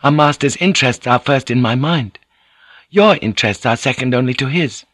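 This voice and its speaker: A man's voice: medium pitch, normal speed, a bit bright, no room sound, clean studio sound, voice pushed up, lightly acted. A man voicing a young noblewoman who speaks politely, earnestly and deferentially. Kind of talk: audiobook character voice.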